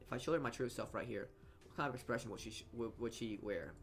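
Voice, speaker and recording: A man's voice: medium pitch, fast. A man reading a visual novel's narration aloud as a let's player, often stumbling over words and correcting himself, with asides of his own. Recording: game music behind the voice. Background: game music.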